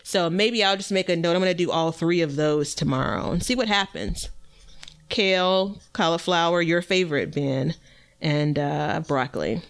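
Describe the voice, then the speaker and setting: A woman co-hosting a gardening show in casual, unscripted conversation, talking in a playful, animated, chatty way. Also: lowish voice, moderate pace. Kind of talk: casual unscripted co-hosted chat.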